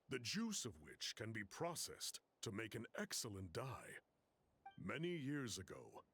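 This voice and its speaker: Talkative young-hearted guide, video game, husky, animated intonation, casual storytelling. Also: male voice, mid-range voice, full character voice. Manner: casual, explanatory, chatty.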